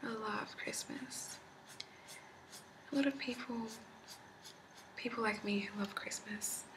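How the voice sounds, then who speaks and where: A woman in her twenties whispering a rambling ASMR chat, with a slightly deep whisper, recorded on a laptop in a candlelit room at home. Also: creaky. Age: 20s. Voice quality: slightly deep whisper, not soft-spoken. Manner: rambling, chatty, laughs often. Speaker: ASMR creator. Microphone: laptop mic. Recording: grainy noisy laptop recording.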